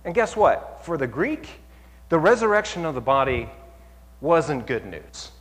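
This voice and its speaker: Male pastor, sermon, animated, rhetorical questions, long reverb. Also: fairly high pitch, a little slow. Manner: animated, rising to near-shouts for emphasis; asks the audience rhetorical questions.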